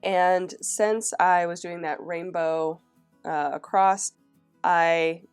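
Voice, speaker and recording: A young woman talks through her planner pages in a relaxed, chatty way, pausing on fillers and starting her sentences over. Her voice sits very low and is rough and scratchy from a cold. Faint guitar music plays softly behind her. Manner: chatty, with frequent fillers and restarts. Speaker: planner vlogger. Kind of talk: casual planner flip-through narration.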